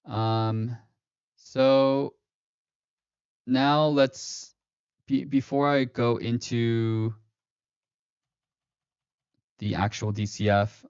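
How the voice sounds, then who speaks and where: A man's voice: mid-range voice, steady tone, drawn-out words, pauses often, a little mellow, clean tone, no echo. Man, livestream host, casual rambling talk, fillers, dry dull audio. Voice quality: slightly robotic-sounding stream voice. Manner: rambling, self-correcting, many fillers. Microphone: streaming mic.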